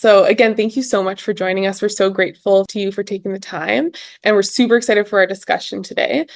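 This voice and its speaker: A woman's voice: mid-range voice, fairly even intonation, fast, no echo. Young woman interviewing, close dry sound. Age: young adult. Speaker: student host and interviewer. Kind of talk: prepared podcast interview.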